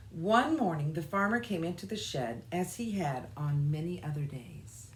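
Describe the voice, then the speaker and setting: A woman reading a children's storybook aloud with expressive, deliberate phrasing. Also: low-pitched, a little hiss or hum. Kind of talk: scripted children's storybook narration.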